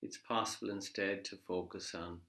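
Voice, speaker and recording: A man leading a guided body scan meditation in a measured, gently instructive way, leaving pauses between phrases. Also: sits low, fairly even intonation. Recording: echoey room.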